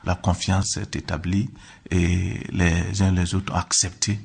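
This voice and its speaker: A man's voice: sits low, little pitch movement, a little slow, creaky, slightly rough. A man, an official explaining things formally in an interview.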